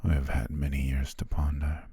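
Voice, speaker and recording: A man voicing a devoted male butler marrying his princess, speaking formally in a soft, half-whispered way that hints at quiet control. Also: a bass voice, monotone, normal speed, breathy edge, no room sound, studio quality, exaggerated voice. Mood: tender, hushed. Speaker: ASMR roleplay voice actor. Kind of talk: intimate ASMR roleplay monologue.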